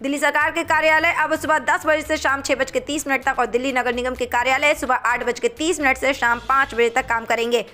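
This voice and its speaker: A woman news anchor reading scripted headlines in a brisk, formal bulletin style. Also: high-pitched, pushed and bright.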